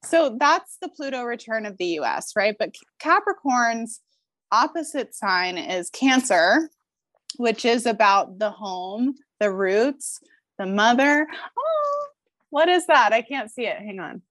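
Animated woman guest talking astrology. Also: fairly high pitch, measured pace, no room sound. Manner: animated, opinionated, swears, lots of filler likes. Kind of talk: casual podcast chat.

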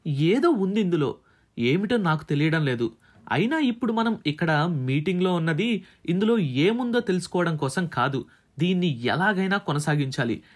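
Man acting an agitated, animated office manager. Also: fairly high pitch, normal speed. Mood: urgent, pleading. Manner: urgent, exclamatory, bossy. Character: agitated, demanding male magazine circulation manager.

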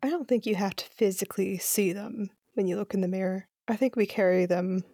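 A woman acting as a gentle, consoling sister in an audio drama, with a creaky, natural voice. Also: medium pitch, a little fast, dry recording, clean studio sound, close to an everyday voice. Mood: comforting.

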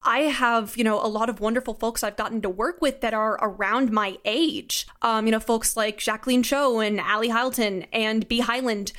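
A woman's voice: fairly high pitch, normal speed, hardly any pauses, a bit bright. A young adult woman chatting casually and enthusiastically as an interview guest. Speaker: interview guest, audio drama creator. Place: remote Zoom call.

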